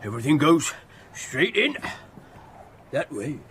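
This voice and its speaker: A man's voice: on the high side, very animated, slow pace, background noise. An actor playing a ponderous, officious footman of a grand house in a period radio drama.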